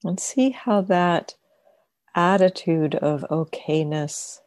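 Slow, low-voiced woman guiding meditation. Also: no room sound. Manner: gentle, unhurried, with long pauses between short phrases. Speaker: meditation teacher. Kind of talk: guided meditation.

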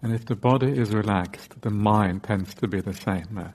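A man teaching guided meditation in short, unhurried phrases, often broken by hesitant "uh"s. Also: on the low side, darkish tone.

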